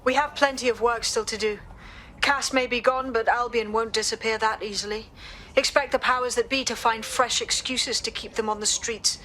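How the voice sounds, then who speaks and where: Game cast: brisk organiser, highish bright voice, resolute, some background noise. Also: female voice, steady tone, poor audio. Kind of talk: game cutscene acting.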